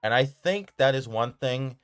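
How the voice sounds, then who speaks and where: A man's voice: mid-range voice, expressive, unhurried, smooth. A man giving casual, enthusiastic commentary on films in a rambling, informal way. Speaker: video creator reviewing horror films.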